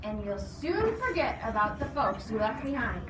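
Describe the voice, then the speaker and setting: Woman as hearty storybook adventurer man, exuberant, folksy, raised pitch, distant noisy recording. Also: very animated, normal speed. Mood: coaxing. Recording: noisy, echoey room, distant mic.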